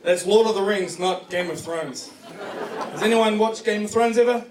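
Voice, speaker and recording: A man's voice: high voice, moderate pace. Man, New Zealand accent, stand-up comedy, joking profane storytelling, noisy background, audible laughing crowd. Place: comedy club stage before a live audience. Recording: distant echoey club recording, noisy laughing crowd.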